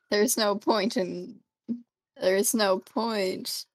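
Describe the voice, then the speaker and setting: Woman co-player, slow easy delivery, lots of giggles, dry mic. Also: medium pitch, expressive, dry recording, rough recording. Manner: giggly, laid-back, drawn-out speech. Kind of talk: casual gameplay chat.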